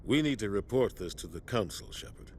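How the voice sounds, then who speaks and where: A man playing a grave, loyal middle-aged military starship captain in a sci-fi video game, speaking with measured, earnest authority. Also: lowish voice, animated, a little hiss or hum, lightly acted. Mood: grave, urgent. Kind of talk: cinematic sci-fi game dialogue.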